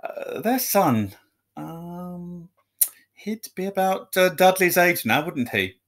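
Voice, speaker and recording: A man voicing a stuffy middle-aged husband in a storybook, nervous, halting and mumbling. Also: high-pitched, animated, a bit bright, pitched above the performer's own voice, full character voice. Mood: feigned casualness.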